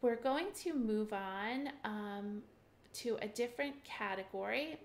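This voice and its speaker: A woman's voice: mid-range voice, slow. Upbeat woman teaching step by step. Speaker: mahjong teacher. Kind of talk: screen-share tutorial walkthrough.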